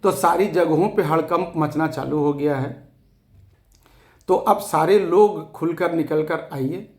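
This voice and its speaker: Hoarse, defiant man rallying followers. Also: on the high side, darkish tone, reverberant. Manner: defiant, emphatic, repeats phrases for force. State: hoarse, scratchy throat. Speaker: activist addressing fellow depositors. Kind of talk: impassioned rallying address to followers.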